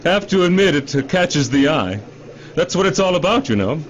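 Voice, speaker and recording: A man's voice: fairly high pitch, very animated, normal speed. An actor in a radio drama playing a glib, defensive middle-aged executive who cajoles and repeats himself like a salesman. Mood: cajoling. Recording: dull radio drama recording, faint noise.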